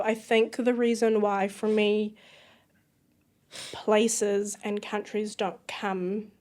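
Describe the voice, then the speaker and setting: A woman's voice: mid-range voice, darkish tone. A woman from Iraq, a podcast guest, talking reflectively and searching for words in a casual interview.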